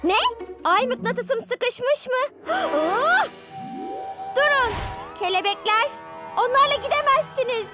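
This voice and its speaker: A petulant little cartoon villainess with a very high, girlish voice. She speaks slowly and commandingly, turning whiny when thwarted. Music plays loudly behind her. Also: animated, exaggerated voice. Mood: exasperated, bossy. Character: bossy, petulant moon-powered villain girl. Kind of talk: animated children's cartoon dubbing.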